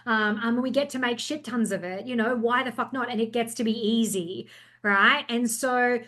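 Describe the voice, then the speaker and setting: A woman's voice: fairly high pitch, moderate pace. Fired-up woman, swearing freely. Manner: passionate, energetic, swears freely. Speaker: podcast guest. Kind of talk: casual chat.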